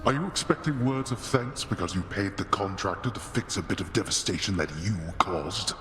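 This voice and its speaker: An actor playing a stern, scornful old god of the dead in a video game, imperious and disdainful. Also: male voice, lowish voice, moderate pace, close to an everyday voice. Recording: long echoing hall, faint music.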